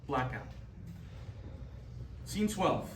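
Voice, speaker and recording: A man's voice: fairly high pitch, big pitch swings, very slow, background noise. A man reading scripted stage directions as narrator at a staged reading. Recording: echoey room, distant mic, music.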